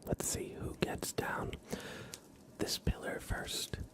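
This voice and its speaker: Whispering man hosting an ASMR livestream. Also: takes it slowly. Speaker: live streamer, host. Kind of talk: whispered ASMR livestream chat with race commentary.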